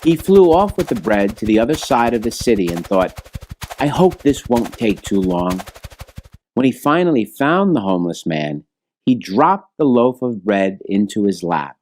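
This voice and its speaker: A man's voice: lowish voice, very animated, normal speed, a little mellow, a little hiss or hum, low-quality recording. A man reading a scripted children's story aloud as the narrator.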